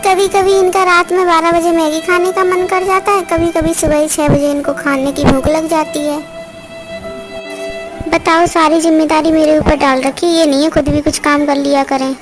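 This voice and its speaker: Woman voicing a very high-pitched, overworked young daughter-in-law. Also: little pitch movement, normal speed, close to an everyday voice. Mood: exasperated. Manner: complaining, put-upon. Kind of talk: Hindi cartoon family drama acting. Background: music.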